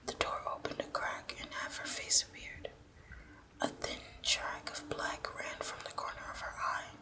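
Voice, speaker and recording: Female ASMR horror narrator. Breathy whisper throughout, hushed and deliberate storytelling.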